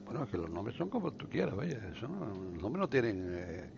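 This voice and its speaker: Cuban man, quick chatty talk, slightly muffled podcast sound. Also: medium pitch, big pitch swings, creaky. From Cuba. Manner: fast, chatty, laughing asides. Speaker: guest storyteller on music history. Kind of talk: casual chat.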